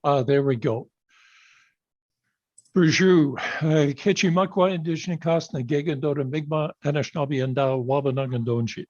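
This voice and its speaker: An older Canadian man speaks in a slightly higher register, with a husky edge to his voice. He tells his story in an earnest, measured way. The sound is dull and lo-fi, as if coming through a video call. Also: darkish tone. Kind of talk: personal speech on a video call.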